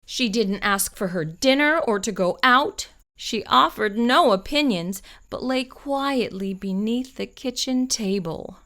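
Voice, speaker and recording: A woman reading a children's picture book aloud, slow and expressive. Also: on the high side. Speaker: children's storyteller.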